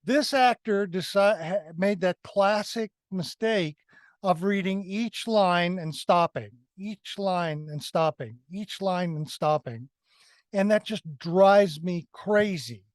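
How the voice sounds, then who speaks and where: A man's voice: high voice, takes it slowly, dry recording, studio quality. A man giving a conversational film critique as a review panelist, emphatic and repeating phrases for effect.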